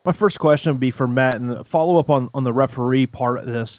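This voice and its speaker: A man's voice: mid-range voice, fast, no echo. Male reporter on a dry phone line. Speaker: MMA journalist. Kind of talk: asking prepared questions on a press call. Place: telephone conference call.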